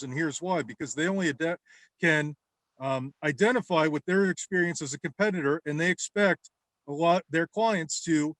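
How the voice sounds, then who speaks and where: A man's voice: fairly high pitch, no room sound, poor audio. A man talking in a casual podcast chat about his work as a campaign caller. He rambles, restarts often and fills gaps with "um" and "you know". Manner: rambling, with many restarts and fillers; acts out the people he talks to. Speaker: guest, political campaign caller.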